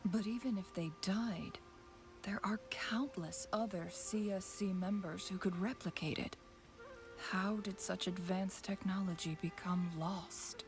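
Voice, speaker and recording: A woman playing a calm, scholarly young woman in a video game, speaking in a measured, pensive and formal way. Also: medium pitch, lightly acted. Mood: pensive, explanatory. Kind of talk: scripted video game voice acting. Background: game music.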